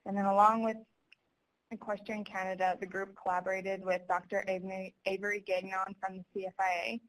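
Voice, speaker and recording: A woman's voice: medium pitch, poor audio. A young adult woman, a student, giving a prepared presentation on a conference call in a formal, explanatory way.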